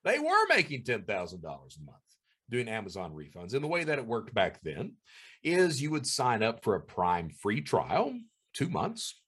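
Man, husky voice, very animated pitch, storytelling monologue. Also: moderate pace. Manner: animated, acts out quoted people in high voices. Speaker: former cybercriminal host.

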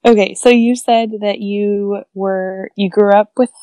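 A woman's voice: mid-range voice, steady tone, unhurried, warm tone, clean tone, no room sound, clean studio sound. A woman from Northern California hosting a casual interview podcast, bubbly and full of "like"s. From Northern California / Northern Nevada. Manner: bubbly, says "like" a lot. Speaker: podcast host.

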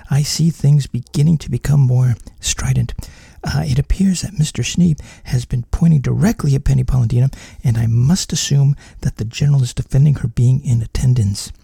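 A man voicing a serious male field reporter who describes a tense meeting in a hushed, careful way. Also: medium pitch, fairly quick, gravelly, few pauses, breathy edge, dry recording, studio quality, full character voice. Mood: grave. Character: measured, serious male reporter outside a mansion.

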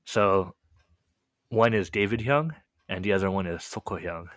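A young man from the United States, whose first language was Korean, telling his childhood story in a relaxed, rambling way, full of "like" and "um". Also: low-pitched, moderate pace, no echo, clean studio sound. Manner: relaxed, rambling, heavy use of "like" and "um" fillers. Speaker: podcast guest telling his life story. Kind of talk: casual storytelling chat.

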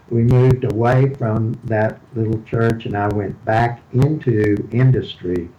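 An older man from Houston with a Texan accent, a podcast guest giving a deliberate testimony with pauses and fillers. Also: mid-range voice, a little mellow, dull audio. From Houston, Texas. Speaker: podcast guest, ministry leader. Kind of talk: slow, measured testimony.

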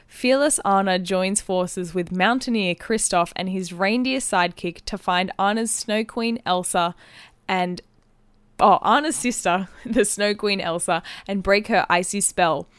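Female podcast host, smooth and clear, chatty and giggly, close dry studio sound. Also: mid-range voice, unhurried, no room sound. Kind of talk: casual chat, explaining a game. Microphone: close podcast microphone.

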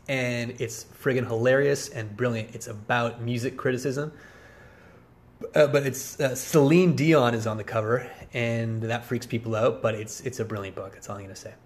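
A man of about forty hosting a podcast in a rambling, casual solo monologue, with a wry, self-deprecating, digressive manner. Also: medium pitch. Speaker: podcast host.

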